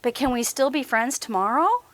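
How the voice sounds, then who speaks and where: A woman voicing a big, gentle, timid male bear who sounds worried, in a children's storybook. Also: sits high, expressive, moderate pace, no echo. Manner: worried, gasping.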